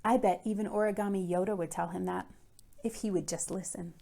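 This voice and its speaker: A woman's voice: mid-range voice, fairly even intonation, a little fast, creaky, mellow. An earnest middle-school boy voiced by a woman tells his own storybook case. He is fretful and emphatic, leaning hard on key words and dropping into confiding asides. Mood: exasperated. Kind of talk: first-person children's book read aloud.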